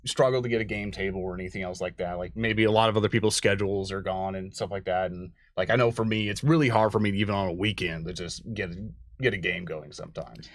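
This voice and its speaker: A man's voice: low-pitched, fairly even intonation, quick pace, no echo, clean studio sound. A man from Louisiana hosting a casual chat in a rambling, informal way. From Louisiana, USA. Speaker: host.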